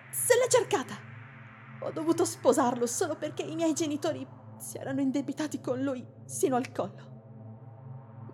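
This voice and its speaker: Una donna interpreta una giovane paesana in fuga, spaventata ed esitante, dalla voce alta e chiara. Sullo sfondo c'è un lieve rumore d'ambiente da radiodramma. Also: female voice, high-pitched, a little mellow, slight background noise. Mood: distraught. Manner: hesitant, nervous. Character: young village woman on the run, frightened. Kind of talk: Italian radio drama acting.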